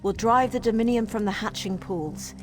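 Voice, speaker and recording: An actress playing a businesslike female scout in a fantasy game, calm, formal and measured. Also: fairly high pitch, over music, close to an everyday voice. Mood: calm, resolute. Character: prudent, businesslike female military scout giving orders. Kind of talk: fantasy video game dialogue.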